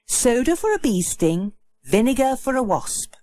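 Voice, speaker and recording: A woman's voice: fairly high pitch, sing-song, a little slow, warm tone, no room sound. A woman voicing a homely, practical village housewife in a children's storybook. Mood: matter-of-fact.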